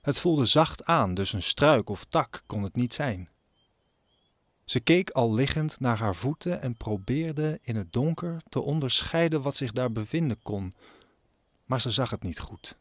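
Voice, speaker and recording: A man's voice: mid-range voice, lively intonation, a little slow, dry recording. A man narrating an audio drama as a scripted, dramatic story. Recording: music under the narration.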